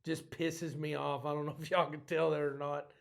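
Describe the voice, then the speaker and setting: A man hosting a podcast, ranting bluntly in a rambling, casual way, his voice a little hoarse. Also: a little high, little pitch movement, moderate pace. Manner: ranting, blunt, self-deprecating. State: slightly hoarse. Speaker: podcast host.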